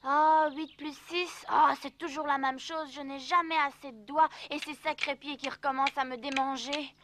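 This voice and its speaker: A woman's voice: sits high, fairly even intonation, moderate pace, fairly bright tone, a little hiss or hum. A woman voicing a whiny, restless little wooden puppet boy in a French cartoon dub. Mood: sulky. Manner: whining, exclamatory, complaining. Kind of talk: animated cartoon dub acting.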